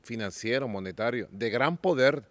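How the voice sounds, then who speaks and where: Venezuelan-born male podcast host, deliberate storyteller, dry studio sound. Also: medium pitch, expressive, dry recording. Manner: slow, measured storytelling with drawn-out lists. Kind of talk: political conversation.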